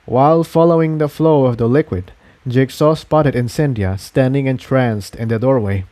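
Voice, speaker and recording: A man's voice: medium pitch, normal speed, dark tone. A man reading fan fiction aloud as an amateur narrator, in scripted storytelling style.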